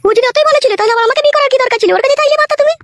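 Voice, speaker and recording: A woman's voice: extremely high pitch, rapid-fire, dry recording. A woman playing a suspicious, sulky second wife in a comedy cartoon, questioning and grudging.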